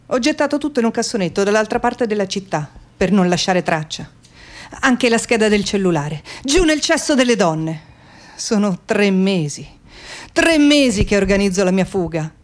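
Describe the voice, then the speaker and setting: A woman's voice: medium pitch, animated, moderate pace, natural voice. A woman acting an anxious, determined young runaway in a radio theatre monologue, confiding in short narrative phrases. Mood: nervous, determined. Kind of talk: dramatic first-person monologue, radio theatre.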